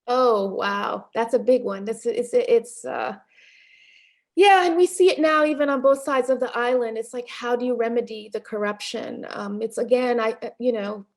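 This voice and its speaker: A middle-aged woman filmmaker answering interview questions in a reflective way, pausing often with fillers and restarts. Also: on the high side. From Panamanian and Haitian family background. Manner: thoughtful, many fillers and restarts, occasional laughs. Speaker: film director being interviewed.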